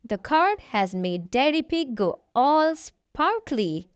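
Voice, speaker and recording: A woman reading a children's storybook aloud, addressing young listeners in an expressive storytelling manner. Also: high voice, slow, smooth, no echo. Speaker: children's storybook reader, channel host.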